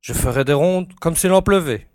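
A man plays a village constable in an audio drama, eager to obey and quick to fluster. His voice is on the high side, plaintive and deferential, recorded dry. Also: dry recording, close to an everyday voice. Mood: obedient. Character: dutiful, easily flustered male village constable. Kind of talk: French audio drama acting.

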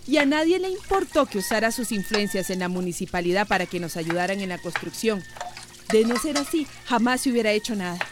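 A woman's voice: mid-range voice, animated, fairly quick, noisy background, natural voice. A woman playing a stern, loyal, easily exasperated village mother in a radio soap. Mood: defensive. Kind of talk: radio soap opera acting.

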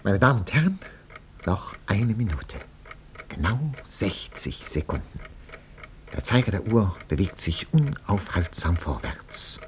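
An actor playing a fussy, melodramatic announcer in a 1950s German radio play, narrating with mock solemnity. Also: male voice, low voice, sing-song, a little slow. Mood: urgent, ominous. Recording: 1950s radio recording, faint noise.

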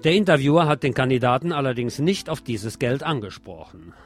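A man's voice: medium pitch, animated, fairly quick, faint music behind. A man presenting scripted radio news commentary, with opinionated, wry asides.

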